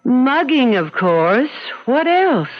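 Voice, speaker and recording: An actress playing a brisk, courteous law office secretary in an old-time radio drama. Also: female voice, high-pitched, very animated, loud music behind the voice, full character voice. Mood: wry. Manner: crisp, courteous, professional. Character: brisk, polite female law office secretary, protective of boss. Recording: dull lo-fi old radio recording.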